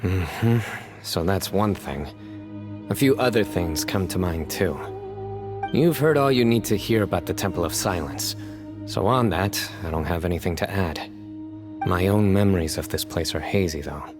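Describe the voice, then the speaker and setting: A man's voice: sits low, expressive, normal speed, music in the background. An actor playing a stern, reserved young male guardian who talks tersely and seriously. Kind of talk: video game voice acting.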